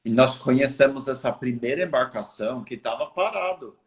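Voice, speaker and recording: A man's voice: mid-range voice, lively intonation, normal speed. An American man, a missionary guest, telling stories earnestly over a video call, with frequent restarts and a noticeable American accent. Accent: American English. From United States. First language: English. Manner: earnest storytelling with many restarts. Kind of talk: live video interview.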